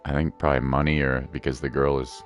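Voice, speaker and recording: A man's voice: extremely low pitch, little pitch movement, quick pace. A man giving casual live gaming commentary as a streamer, rambling, joking and slangy. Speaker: game streamer. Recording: game music behind voice.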